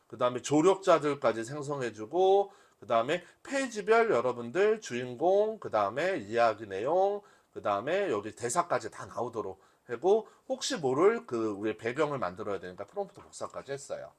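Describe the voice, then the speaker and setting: A man's voice: mid-range voice, sing-song, moderate pace, hardly any pauses. A man giving a live demonstration as a workshop instructor, talking conversationally and often posing questions that he answers himself. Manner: conversational teaching, asks and answers own questions. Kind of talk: live software demonstration to a class.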